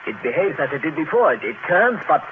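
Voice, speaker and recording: An actor playing a dutiful, uneasy submarine lieutenant, deferential and hesitant, in an old radio drama. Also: male voice, a little high, sing-song, fast. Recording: hissy, narrow-band old radio recording.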